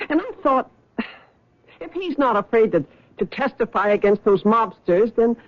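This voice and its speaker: An actress playing a relieved, caring wife in a 1970s radio drama, talking in a halting, hesitant way. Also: female voice, fairly high pitch, big pitch swings. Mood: tender. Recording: dull old radio recording.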